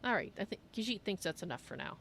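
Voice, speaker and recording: A woman's voice: mid-range voice, very animated, quick pace, vocal fry, a bit bright. Woman voicing a courteous cat-folk mage, faint noise. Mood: curious. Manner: speaks of herself in third person. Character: female Khajiit cat-folk archmage, courteous, third-person speech. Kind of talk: in-character game roleplay on a livestream. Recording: faint noise and game ambience.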